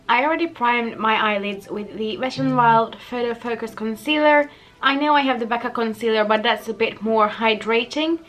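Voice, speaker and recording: A young woman with a slightly croaky voice after the flu, chatting casually through a makeup tutorial and often correcting herself. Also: on the high side, fairly bright tone. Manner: chatty, self-correcting, giggly asides. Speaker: beauty vlogger.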